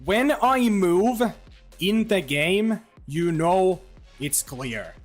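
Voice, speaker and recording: A man chatting to his viewers while he plays, loose and rambling, full of "like" and "you know." His voice sits a little high and swings widely in pitch. Faint music plays behind him. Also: lively intonation, drawn-out words. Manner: rambling, chatty, lots of fillers and restarts. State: throat getting dry. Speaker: live streamer. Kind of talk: casual livestream chat while gaming.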